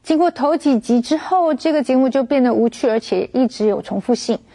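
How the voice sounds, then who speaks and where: A woman teaching vocabulary in a clear, measured, scripted way. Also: high-pitched, warm tone.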